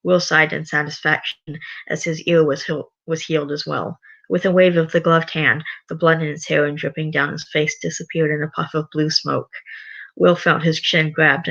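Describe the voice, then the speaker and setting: A livestreamer reading a story aloud and chatting to viewers, voice going raspy. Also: mid-range voice, little pitch movement, moderate pace, a bit bright, muffled recording. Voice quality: voice getting raspy. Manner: rambling, self-correcting. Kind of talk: reading a story aloud, then casual chat.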